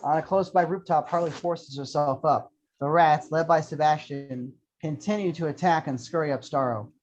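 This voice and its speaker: A man's voice: fairly high pitch, moderate pace, a little mellow. A man reading screenplay action lines as scripted narration at an amateur table read. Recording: dull lo-fi sound.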